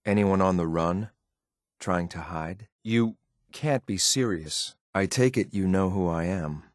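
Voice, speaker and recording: A man voicing a cold, ruthless synthetic enforcer in a video game, with a clipped, deadpan, formal delivery. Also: deep voice, a little slow, no room sound, studio quality. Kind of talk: scripted game companion voice lines.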